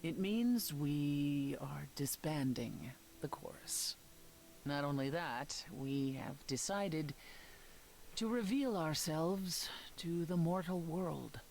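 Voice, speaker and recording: An actress playing a composed immortal woman leader in a story game, speaking in a measured, formal way. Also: low voice, expressive, gravelly, a little mellow, a little hiss or hum, full character voice. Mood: solemn, resolved. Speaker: voice actor. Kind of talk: dramatic dialogue in a story game.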